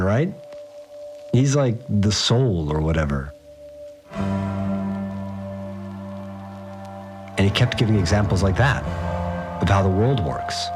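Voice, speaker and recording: An awed working man with a very low voice that moves around freely in pitch. He rambles through a slangy, unsure audio diary in a fiction podcast, with music underneath. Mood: wry. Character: awed, rough-spoken working man recounting strange events on tape. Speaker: fixer, a manual worker.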